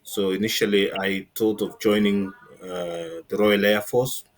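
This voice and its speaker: A man's voice: fairly low pitch, fairly even intonation, measured pace. A middle-aged man with a Kenyan accent, giving a conversational account as an interview guest and often adding "you know" as he explains. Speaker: interview guest, former navy linguist.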